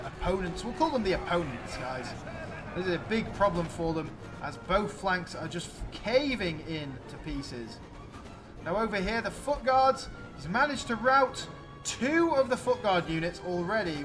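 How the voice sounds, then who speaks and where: A man giving excited, exclamatory play-by-play commentary on a video game battle, addressing his viewers. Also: sits high.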